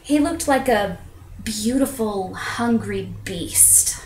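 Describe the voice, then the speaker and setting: A woman performing a theatrical monologue as a self-conscious, candid woman confessing intimate things, with dramatic pauses and drawn-out emphasis. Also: lively intonation, darkish tone, slight background noise. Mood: awed. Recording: echoey room on low-quality webcam.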